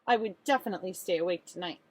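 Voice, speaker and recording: A woman's voice: lowish voice, quick pace, a little mellow. A woman teacher reading a children's storybook aloud expressively, speaking directly to young listeners.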